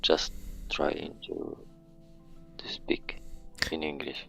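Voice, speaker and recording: A man's voice: very deep voice, sing-song, very slow, gravelly, fairly bright tone, in a whisper, soft background music. A man from Morocco, speaking English as a guest in a casual chat and searching for words. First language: Amazigh.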